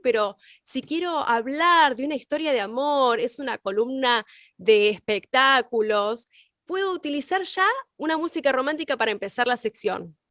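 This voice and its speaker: A woman's voice: high-pitched, fairly bright tone, dry recording, studio quality. A woman explaining as a teacher in an informal open class, with examples and rhetorical questions. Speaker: radio workshop teacher.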